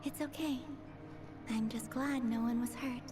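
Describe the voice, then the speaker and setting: A woman's voice: fairly high pitch, fairly even intonation, unhurried, over music, natural voice. An actress playing a self-assured, caring young woman adventurer in an anime game cutscene. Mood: pleased, reassuring.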